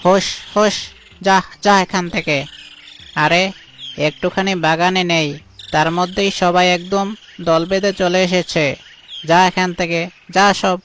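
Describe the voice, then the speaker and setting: An actor playing a friendly, hardworking jackal who keeps an orchard, in a chatty, eager cartoon style. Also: male voice, sits high, fairly even intonation, slow pace, pauses often, a bit bright, a little hiss or hum. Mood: scolding. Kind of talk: cartoon voice acting.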